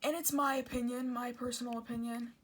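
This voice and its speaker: A woman's voice: fairly high pitch, level tone, brisk. A woman giving informal explainer commentary in an earnest, conversational way, often correcting herself. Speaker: video creator and commentator.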